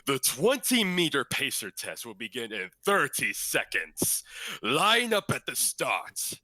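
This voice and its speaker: A man voicing a grandiose dark overlord, making dramatic drawn-out announcements in an anime dub style. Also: mid-range voice, wildly swooping pitch, no echo, pitched above the performer's own voice, full character voice.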